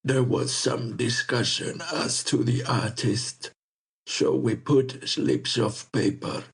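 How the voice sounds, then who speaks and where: A man playing a solemn, important temple priest, speaking formally and deliberately in a dramatic audiobook. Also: medium pitch, vocal fry, a little mellow. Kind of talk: dramatic audiobook character reading.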